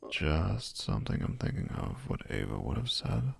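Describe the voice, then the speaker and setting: A man playing a gentle, caring friend in an intimate audio drama, speaking in a measured, tender, counseling way. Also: huge pitch swings, no room sound, exaggerated voice. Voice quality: deep, gravelly, husky. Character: gentle, caring male friend and writerly confidant.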